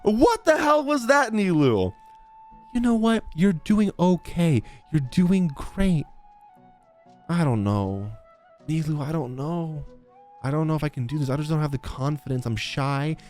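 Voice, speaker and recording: A woman streaming a game with casual, expressive, jokey reaction commentary and exclamations. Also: sits low, a little slow, soft background music.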